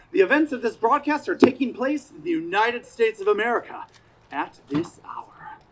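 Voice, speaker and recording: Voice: mid-range voice, rough recording. An actor playing a pompous, tyrannical radio playwright in a stage comedy, declaiming in a grandiose, imperious way. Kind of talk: community theater stage comedy acting. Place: outdoor stage in a parking lot.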